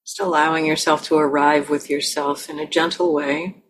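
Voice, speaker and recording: A woman meditation teacher leading a guided meditation in a gentle, unhurried manner with long pauses. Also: low voice, flat delivery, breathy edge, poor audio.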